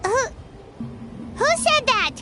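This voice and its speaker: Very high, squeaky cheeky companion. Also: female voice, very animated, slow, loud music behind the voice, heavily acted. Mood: startled. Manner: squeaky, childlike, perky. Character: tiny chirpy, cheeky companion, loyal and outspoken. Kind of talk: video game voice acting.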